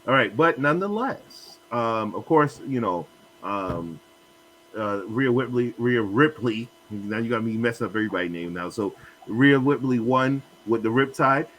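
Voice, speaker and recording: A man's voice: mid-range voice, animated, moderate pace, fairly bright tone, rough recording. Rambling man recapping wrestling matches. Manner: rambling recap, many fillers. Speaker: podcast host. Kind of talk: casual wrestling chat. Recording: faint hum and noise.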